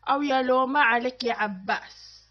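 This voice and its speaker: A weary Adeni housewife from a comedy cartoon, acted by a woman, high-pitched and full of sighs and complaints. Her recording is a little dull, with faint music. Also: brisk, natural voice. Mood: exasperated. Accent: Adeni Yemeni. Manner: sighing, complaining. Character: weary, grumbling Adeni housewife with a sharp tongue.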